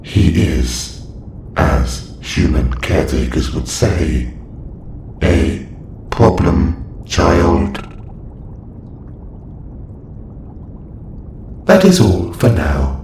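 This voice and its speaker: A man's voice: very deep voice, lively intonation, very slow, darkish tone, exaggerated voice. A man playing a formal, clinical caretaker robot in a sci-fi audio roleplay, speaking in stilted, precise phrases. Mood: clinical, advisory. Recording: roomy echo, faint background noise.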